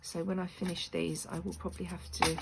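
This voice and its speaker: A woman with a croaky voice chatting casually to her channel while making craft pieces, rambling with lots of fillers. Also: fairly low pitch, flat delivery, a little fast. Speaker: crafting video maker. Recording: noisy room with clicks.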